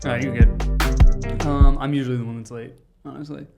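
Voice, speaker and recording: A man hosting a podcast, chatting casually, making jokes and asking quick questions. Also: medium pitch, little pitch movement, gravelly, a little mellow, faint music behind. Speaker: podcast host. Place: podcast studio.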